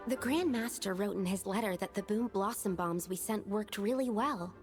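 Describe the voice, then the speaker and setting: A woman's voice: mid-range voice, moderate pace, faint music behind, close to an everyday voice. A woman voicing a mild, helpful young woman in a video game, speaking earnestly.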